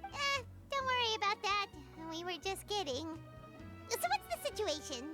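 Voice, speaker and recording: A woman voicing a tiny, excitable, childlike female fairy companion in a video game, with a squeaky, perky delivery. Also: moderate pace. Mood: curious. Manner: perky, speaks of self in third person. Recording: game music behind the voice.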